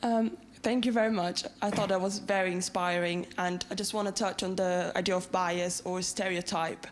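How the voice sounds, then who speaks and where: A woman's voice: on the low side, fairly even intonation, normal speed, a little hiss or hum. A young woman from Brazil with a Brazilian accent, asking an earnest question from the audience.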